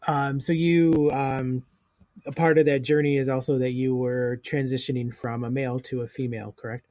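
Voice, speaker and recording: A male podcast host asks his guest short, plain questions in a calm and unhurried way, with some fillers along the way. His voice sits at a middle pitch. The sound is close and dry. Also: no echo. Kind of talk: casual interview questions.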